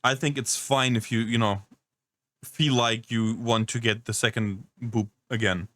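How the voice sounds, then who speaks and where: A man's voice: mid-range voice, fairly even intonation, unhurried, fairly bright tone, dry recording. A man doing casual reaction commentary, incredulous and rambling, swearing often. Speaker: reaction streamer.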